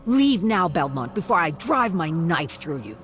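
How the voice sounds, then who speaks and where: A narrator voicing a belligerent drunken village watchman, harsh and braying, loud and threatening in a dark fantasy audio tale. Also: mid-range voice, very animated, a little slow, soft background music. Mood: drunken, hostile.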